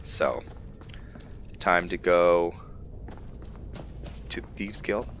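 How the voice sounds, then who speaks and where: A man's voice: low-pitched, extremely slow, fairly bright tone, faint music behind. A man giving casual, improvised game commentary, musing aloud to himself in a tentative way. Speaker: gaming video host. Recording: muffled, with clear background noise.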